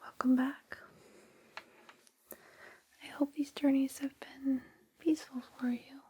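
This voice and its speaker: A woman doing an ASMR roleplay as a gentle guide, speaking in a soothing, reassuring, breathy whisper with unhurried pauses. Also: high voice, level tone, a little hiss or hum. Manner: soothing, reassuring personal attention; slow guided-relaxation delivery with pauses. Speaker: ASMR host playing a space travel guide.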